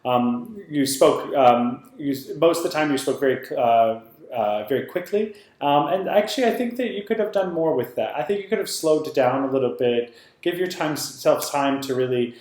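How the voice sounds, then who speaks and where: A man with a medium-pitched voice gives off-the-cuff feedback as a competition judge. He is a native English speaker, encouraging but hesitant, circling through his points in a rambling way. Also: lively intonation, moderate pace, a little mellow. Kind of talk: unscripted spoken feedback.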